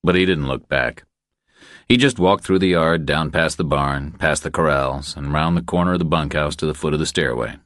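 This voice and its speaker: A man's voice: extremely low pitch, normal speed, fairly bright tone, dry recording, studio quality. A man reading a crime thriller audiobook as a scripted narration.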